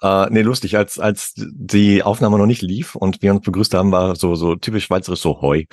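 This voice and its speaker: A man with a very low, deep voice hosts a podcast in a casual, easygoing way. He talks freely with plenty of fillers. His voice is recorded dry and close, with no room sound. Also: moderate pace, no echo. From Germany. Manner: relaxed, chatty, many fillers. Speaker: podcast host. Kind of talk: casual interview chat. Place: home in France.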